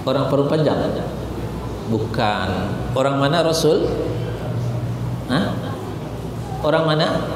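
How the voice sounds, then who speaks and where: A man from Indonesia preaching a Ramadan sermon, asking rhetorical questions and slowly reciting Arabic verses. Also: animated. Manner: rhetorical questions, wide swings in pitch and loudness. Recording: echoey hall with loud room noise.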